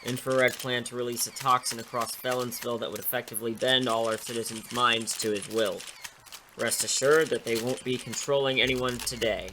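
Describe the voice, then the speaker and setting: A man's voice: medium pitch, level tone, normal speed, noisy background, close to an everyday voice. A man playing a protective, wry superhero mentor with an assured manner in an audio drama. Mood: firm, official.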